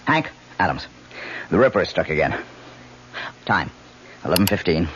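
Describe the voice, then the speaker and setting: An actor playing a brash, impatient crime reporter in a radio drama, speaking in clipped, urgent phrases. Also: male voice, low voice, big pitch swings, normal speed, a bit bright. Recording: muffled old radio broadcast, faint noise.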